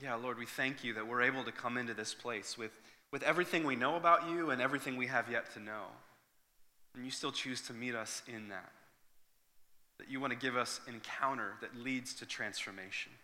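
Male pastor, 30s, earnest and conversational, bright voice, long hall reverb. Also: medium pitch, a little fast. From Northern Ireland. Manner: earnest, conversational, with frequent restarts and fillers. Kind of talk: sermon with prayer and personal story.

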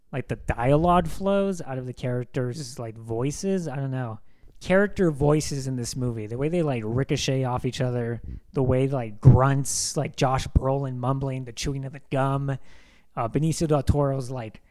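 A man's voice: mid-range voice, normal speed, a little mellow. A young man co-hosting a casual film discussion podcast, talking with enthusiasm and rambling, filling his sentences with "like". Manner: enthusiastic, rambling, heavy use of "like". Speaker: podcast co-host.

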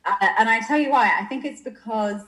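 A woman, a guest on a podcast, chatting casually in an animated, self-deprecating way. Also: fairly high pitch, brisk. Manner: animated storyteller, self-deprecating, laughs mid-sentence. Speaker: podcast guest, social media manager. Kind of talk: casual chat.

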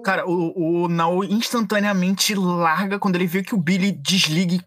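A man's voice: sits high, steady tone, normal speed, fairly bright tone. A man with a Brazilian accent, a blunt, slangy player casually role-playing. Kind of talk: casual tabletop role-play.

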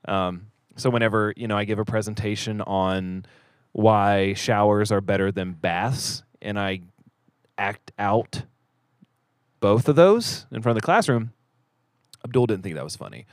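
A man with a fairly low voice, recorded dry and close, hosts a casual podcast. He rambles in a joking, self-deprecating way. His sentences tend to trail off into a mumble. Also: a little slow, no echo. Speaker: podcast host.

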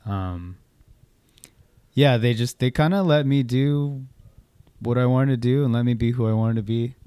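A man's voice: medium pitch, measured pace, mellow, clean tone, no echo, clean studio sound. A man from Southern California, a guest musician giving loose, laid-back answers full of fillers in a casual interview, recorded indoors at a theater venue.

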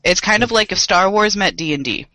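A man's voice: sits high, expressive, a little fast, sharp tone, dry recording, rough recording. A young man co-hosting a casual podcast chat, joking and swearing freely, with a dry voice today. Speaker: podcast co-host.

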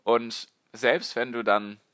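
A man hosting his own finance podcast, talking straight to the listener in a loose, rambling way, his voice a little strained and hoarse. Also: mid-range voice, steady tone, slow pace, a bit bright, no echo, clean studio sound. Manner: rambling, conversational, addresses listener directly. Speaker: podcast host. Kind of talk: casual solo podcast on personal finance.

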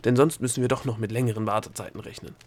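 A man's voice: lowish voice, fairly even intonation, rapid-fire, a little mellow, natural voice. A man voicing a nervous, stammering gnome adviser who wheedles and hisses in an audiobook. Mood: hesitant, stammering. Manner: stammering, hesitant, often hissing and whispering. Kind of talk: audiobook character voice.

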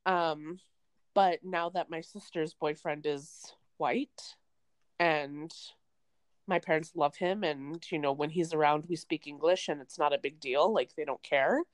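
Young woman, Canadian accent, low-ish voice, dry close sound, rambling casual chat. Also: fairly even intonation, a little slow, no room sound. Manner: chatty, rambling with many fillers. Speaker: podcast co-host. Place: remote, away from co-host.

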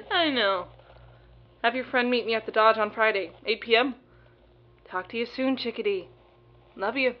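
A woman playing an earnest, protective fixer in a naturalistic audio drama, halting and placating as she guards a secretive client. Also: fairly high pitch, animated. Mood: warm, relieved. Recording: muffled listening-device sound, loud background noise.

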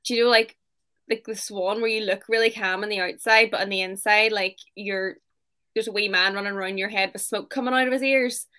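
Chatty woman, bright higher voice. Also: steady tone, a little fast. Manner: chatty, filler-heavy storytelling. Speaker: pageant contestant, guest. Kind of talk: casual chat.

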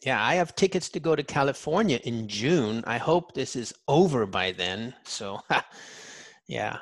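A man's voice: medium pitch, expressive, measured pace, no echo. A man interviewing someone in a casual, anecdotal way, with an enthusiastic, folksy and informal manner.

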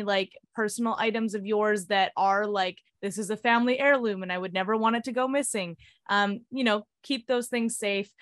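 A woman's voice: mid-range voice, fairly bright tone, no room sound, studio quality. Practical woman guest on a podcast. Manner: practical, explanatory, many "um"s and "like"s. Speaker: guest, short-term rental host. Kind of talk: casual interview answers.

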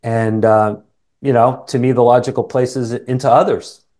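A man's voice: mid-range voice, fairly even intonation, normal speed, a little mellow. A middle-aged man with a Canadian accent, talking earnestly and reflectively as a guest in a casual interview, often checking in with short tag questions. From Canada, born in England. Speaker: interview guest, sales coach.

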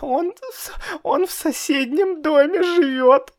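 A man voicing a stubborn, tearful little boy in a storybook reading, with a high, whining delivery. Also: lively intonation, slow. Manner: high whiny pleading, sobbing.

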